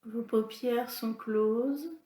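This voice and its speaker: Woman, meditation guide, very unhurried, mellow timbre, calm instructional delivery, echoey room sound. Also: fairly high pitch. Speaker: yoga nidra instructor. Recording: roomy, echoing sound.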